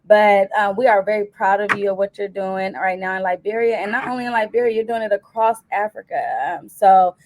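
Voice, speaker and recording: A woman's voice: mid-range voice, flat delivery, fairly quick, few pauses, noisy background. A woman hosting an interview, encouraging her guest with hesitant fillers. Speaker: host.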